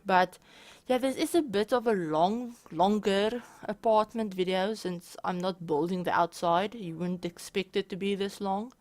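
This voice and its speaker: A woman, a bit hoarse from being sick, talking casually and rambling over footage of a game build, often correcting herself mid-sentence. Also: mid-range voice. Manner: rambling, self-correcting, chatty. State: hoarse from illness. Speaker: channel host. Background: soft background music.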